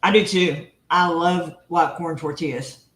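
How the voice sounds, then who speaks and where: A woman's voice: fairly low pitch, steady tone, unhurried, fairly bright tone, echoey room. Chatty Texan woman cooking at home. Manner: chatty, teasing, laughs often. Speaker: cooking show host. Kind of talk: casual live cooking chat. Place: home kitchen.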